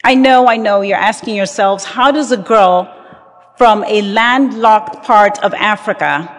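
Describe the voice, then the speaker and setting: A woman, giving a formal address as guest speaker with a measured, emphatic delivery. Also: mid-range voice. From Zambia. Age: 50s. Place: large auditorium with audience. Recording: reverberant hall.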